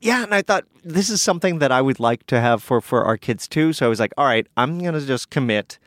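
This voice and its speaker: A man's voice: medium pitch, expressive, brisk, dry recording. Laid-back california guy, dry jokey delivery, mid voice, close dry mic. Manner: dry joking, easygoing. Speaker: guest co-host. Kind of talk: casual chat.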